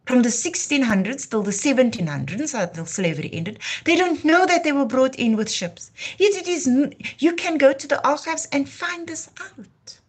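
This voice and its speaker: A woman's voice: high-pitched, expressive, moderate pace, few pauses. A woman from Namibia, hosting a live talk in a passionate, declamatory way. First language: Afrikaans. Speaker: livestream host. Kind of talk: impassioned heritage talk.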